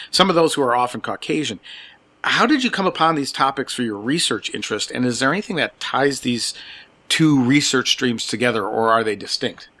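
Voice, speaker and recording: A man's voice: medium pitch, bright, forceful tone, dry recording. A man with a Wisconsin accent hosting an interview podcast, asking chatty questions with small asides. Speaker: podcast host.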